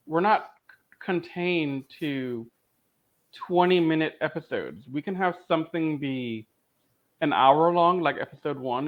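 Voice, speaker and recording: A man co-hosting a podcast, chatting casually as he reviews a show as a fan. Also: a little high. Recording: slightly dull, lo-fi audio.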